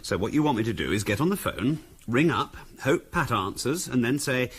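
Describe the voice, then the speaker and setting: Husky, brusque English husband. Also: male voice, medium pitch, expressive, normal speed, fairly bright tone. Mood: exasperated, patronising. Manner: brusque, condescending. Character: impatient, patronising middle-class husband, dismissive and sceptical. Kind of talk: 1970s radio drama acting.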